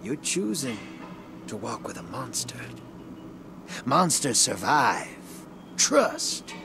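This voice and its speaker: An actor voicing a shady, streetwise rogue and survivor in a video game, with slangy, conspiratorial swagger. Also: male voice, mid-range voice, sing-song, slow, gravelly, noisy background, heavily acted. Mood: sly, persuasive. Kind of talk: video game character dialogue.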